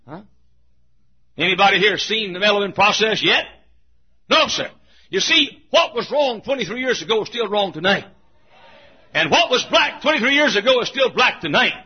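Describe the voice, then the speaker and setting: An older man preaching a sermon in a church, swinging from hushed asides to shouting and chuckling through his lines. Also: high-pitched, breathy edge. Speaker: pastor. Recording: muffled lo-fi recording.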